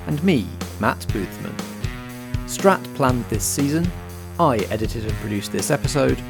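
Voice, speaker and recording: A man's voice: mid-range voice, gravelly. A man who plays in and presents a tabletop roleplay show, narrating in a storytelling style. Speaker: player, producer and presenter of the show.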